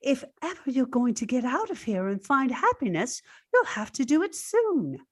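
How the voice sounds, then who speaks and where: A woman's voice: sits high, very animated, normal speed, husky, full character voice. A woman playing a scheming, cosy, outspoken household servant in an old-time radio drama, coaxing and conspiratorial. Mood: goading.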